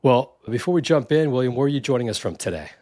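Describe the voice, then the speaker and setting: A man's voice: mid-range voice, lively intonation, quick pace, dark tone, dry recording. A man hosting a startup interview podcast, reading an upbeat intro and asking friendly questions. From Silicon Valley, California. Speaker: podcast host.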